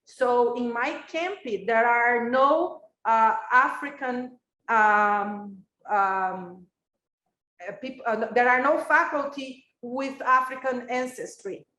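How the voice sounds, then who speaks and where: A woman's voice: on the high side, takes it slowly, sharp tone, roomy sound, rough recording. A Brazilian woman whose first language is Portuguese, giving a university lecture in a reflective way with many filler sounds and restarts. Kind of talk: recorded academic lecture.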